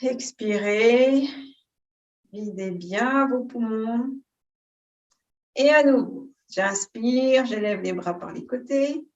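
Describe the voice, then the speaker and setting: A woman teaching yoga, giving calm, unhurried and soothing guidance on posture and breathing. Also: a little high, muffled recording. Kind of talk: guided yoga and breathing instruction.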